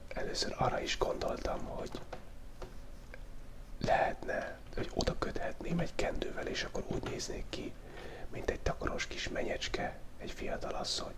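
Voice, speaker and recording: Whispering man with a cold, close mic. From Hungary. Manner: playful, joking asides while sketching. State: has a cold. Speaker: YouTube drawing channel host. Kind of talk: whispered ASMR drawing commentary. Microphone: small mic taped to his face, very close.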